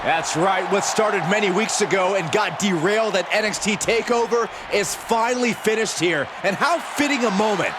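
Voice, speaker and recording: A man giving excited wrestling commentary for a video game. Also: sits high, normal speed, few pauses. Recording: loud arena crowd and noise behind. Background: roaring crowd.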